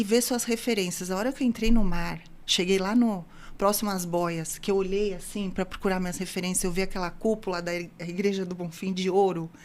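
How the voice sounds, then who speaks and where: A woman in her fifties from São Paulo, a guest on an interview podcast, telling her story in a lively way and acting out the dialogue she quotes. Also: mid-range voice. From São Paulo, Brazil. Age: 50s. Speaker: guest, open-water ultramarathon swimmer.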